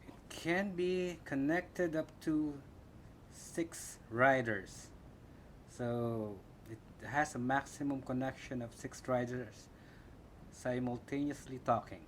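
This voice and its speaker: A man with a Filipino accent giving a casual, halting unboxing commentary, thinking aloud as he goes. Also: mid-range voice. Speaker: product reviewer. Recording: clear background room noise.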